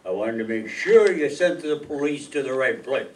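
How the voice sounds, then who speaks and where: A man's voice: mid-range voice, lively intonation, moderate pace, close to an everyday voice. A man playing an indignant elderly swindle victim in 1940s radio drama style, with a formal manner. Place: live stage reading in a meeting room. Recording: distant mic, noisy hall.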